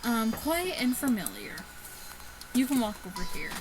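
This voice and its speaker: A woman hosting a gaming video, reading a visual novel aloud in a casual, halting way with stumbles and asides. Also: a little high, huge pitch swings, music in the background, poor audio. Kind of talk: casual chat and read-aloud let's play.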